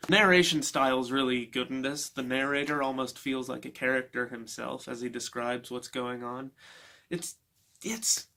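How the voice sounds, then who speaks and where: A casual, halting male book vlogger. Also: medium pitch, sharp tone. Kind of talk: casual unscripted review chat.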